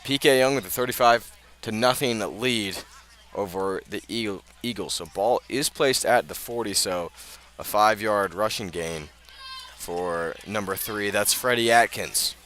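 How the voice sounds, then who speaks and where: A man doing live radio play-by-play of high school football, often halting and correcting himself as he goes. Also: a bit bright, a little hiss or hum. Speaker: play-by-play sports announcer.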